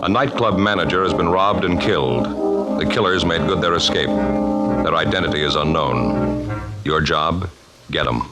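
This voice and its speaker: A man's voice: sits low, normal speed. An American man narrating a 1950s radio drama in terse, solemn, portentous sentences. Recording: old radio broadcast with loud brass music.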